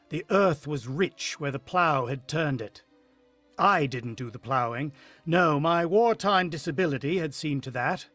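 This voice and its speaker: Higher-voiced reflective actor as farmhand narrator. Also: male voice, fairly bright tone, no echo. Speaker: actor narrating as the lead character. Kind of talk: first-person radio drama narration.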